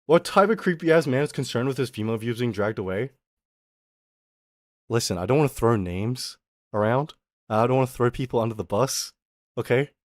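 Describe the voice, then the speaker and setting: Animated young man ranting on stream. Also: mid-range voice, dry recording, studio quality. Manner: animated, exaggerated, quick mock voices and laughs. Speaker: VTuber streamer. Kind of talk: casual ranting stream chat.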